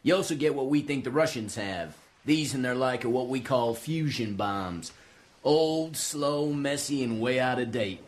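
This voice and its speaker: An actor playing a folksy, swaggering American military scientist in a radio drama, breezy and glib with an American accent. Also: male voice, mid-range voice, lightly acted. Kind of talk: British radio drama acting.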